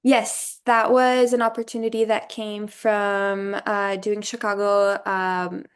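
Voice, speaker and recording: A young woman from Brazil, a former student, talking through her path in a relaxed interview, thinking aloud with many "um"s and "you know"s. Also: mid-range voice, takes it slowly, smooth. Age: young adult. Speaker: alumna guest. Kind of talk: casual interview conversation.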